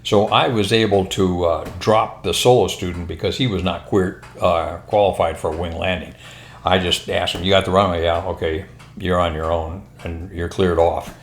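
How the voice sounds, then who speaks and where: An older American man, a former pilot, telling anecdotes in a casual, unhurried, rambling conversational way. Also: deep voice, steady tone, slight background noise. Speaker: retired military and airline pilot telling stories. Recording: roomy, echoing room sound.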